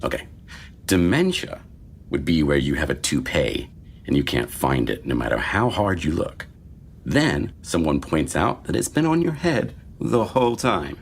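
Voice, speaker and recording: Male, actor as sardonic fifties physician, rock-bottom pitch with lively inflection, wry deadpan, screenplay drama, faint rumble. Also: a little slow, full character voice. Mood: dry, explaining. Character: dry, sardonic male doctor in his fifties. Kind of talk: screenplay table read. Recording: faint low rumbling noise.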